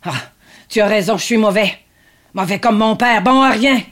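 A woman's voice: mid-range voice, normal speed, fairly bright tone, natural voice. A woman playing a needy, sardonic grown son devoted to his mother, in a dramatic script reading. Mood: bitter, pleading.

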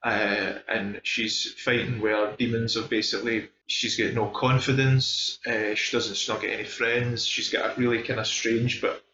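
A man's voice: mid-range voice, little pitch movement, moderate pace, hardly any pauses, noisy background. A man with a Scottish accent chatting casually and enthusiastically as he reviews films, with plenty of emphasis. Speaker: film discussion podcast host. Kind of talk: casual film review chat. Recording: dull, echoey room recording.

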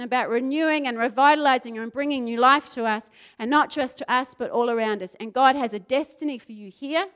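A woman's voice: high voice, fairly bright tone. A woman with a New Zealand accent preaching a sermon, talking with the crowd and building to fervent passages. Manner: builds to fervent, rising intensity; asks the crowd questions, conversational asides.

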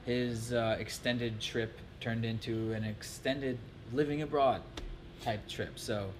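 A man's voice: mid-range voice, fairly even intonation, slow pace. A man hosting a travel vlog, talking in a loose, rambling, chatty way. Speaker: vlogger host. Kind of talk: casual chat to camera. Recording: noisy ambient background.

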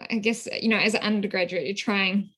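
New Zealand woman, measured academic. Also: mid-range voice, fairly even intonation, fairly bright tone, husky. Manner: measured, thoughtful, frequent ums. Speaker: guest scholar. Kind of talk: academic interview.

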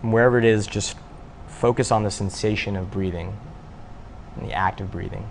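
A man with a low, creaky voice leads a guided meditation. He gives simple instructions in sparse, unhurried phrases and leaves long gaps. Faint noise sits behind the voice. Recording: faint steady background noise.

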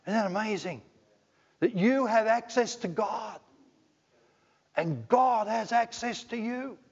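A man's voice: high-pitched, takes it slowly, husky. Australian man, middle-aged, chatty joking preacher, dull lo-fi sound, long hall echo. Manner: conversational, joking, asks the congregation questions. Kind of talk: sermon. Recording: dull lo-fi recording in a reverberant hall.

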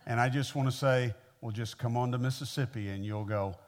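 A man's voice: mid-range voice, steady tone, a little fast. A man in his sixties from the American Midwest with an American accent, preaching conversationally as a visiting pastor in a folksy, personable way. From Midwestern United States (Missouri). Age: 60s. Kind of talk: conversational sermon to a congregation.

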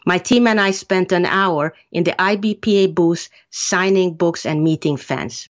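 A woman from Hungary with a fairly low voice answers interview questions in a measured, earnest way. The recording sounds muffled and lo-fi. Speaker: author being interviewed.

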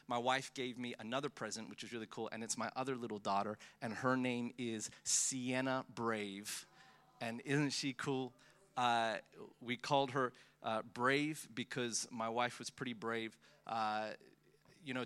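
Thirties guy preaching, bright voice, jokey and self-deprecating, lots of uh and restarts. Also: mid-range voice. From born in the Philippines, raised in Australia. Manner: self-deprecating, joking, many fillers and restarts. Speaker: pastor. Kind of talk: guest sermon, personal storytelling.

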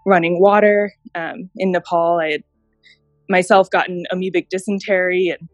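A woman in her thirties, from Japan, with a lower voice on a dry, close recording. She speaks reflectively as an interview guest, telling her story with thoughtful pauses. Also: level tone, no room sound, highs cut off, soft background music. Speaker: guest, healthcare innovation director. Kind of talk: interview conversation.

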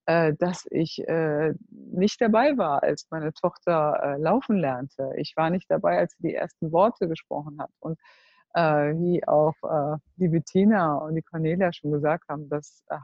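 A woman in her forties from Germany telling her life story as a guest, earnest and halting, often searching for words. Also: deep voice, no room sound. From Germany (Cologne). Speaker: entrepreneur, guest. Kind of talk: personal life story in a group talk. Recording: dull, dry close recording.

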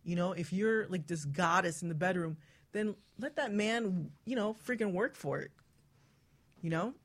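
A woman's voice: lowish voice, moderate pace. A woman hosting a casual radio panel chat, talking bluntly and swearing freely. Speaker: radio show host.

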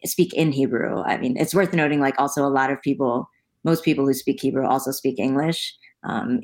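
A woman from the United States, a guest in a conversational interview, explaining things thoughtfully with frequent ums. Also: a bass voice.

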